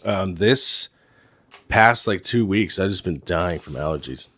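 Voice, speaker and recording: A hoarse man co-hosting a casual podcast, easygoing and swearing freely. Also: deep voice, expressive, unhurried, pushed and bright, no room sound. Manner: easygoing, swears, laughs along. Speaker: podcast co-host. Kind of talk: casual chat. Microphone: studio mic.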